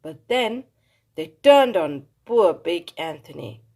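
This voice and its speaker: A woman reading a children's story aloud in an expressive, dramatic style with emphatic phrasing. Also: lowish voice, slow.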